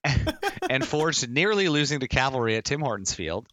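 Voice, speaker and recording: A man's voice: mid-range voice, sing-song, moderate pace, pushed and bright. A man co-hosting a casual sports podcast, talking earnestly and rambling as he repeats phrases to build a point. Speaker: podcast co-host and soccer fan.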